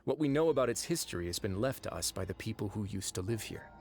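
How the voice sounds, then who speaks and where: An actor playing a composed, wry young duke in a video game, speaking in a deliberate, measured storytelling manner. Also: male voice, lowish voice, lively intonation, a little mellow, soft background music. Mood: calm, measured. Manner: deliberate, dryly wry, unhurried. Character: composed, wry young duke who runs an underwater prison fortress.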